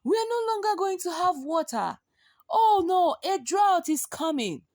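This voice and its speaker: A woman's voice: very high voice, big pitch swings, a little slow, a little mellow, no echo, clean studio sound, voice pushed up, exaggerated voice. A woman voicing distraught village folk in a folktale, exclaiming and wailing dramatically. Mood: alarmed. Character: frightened, outraged crowd of village folk.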